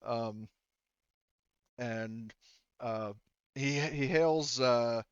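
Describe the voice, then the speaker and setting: A man narrating improvised tabletop roleplay as game master, halting and conversational, on slightly dull, dry audio. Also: mid-range voice, smooth, dry recording.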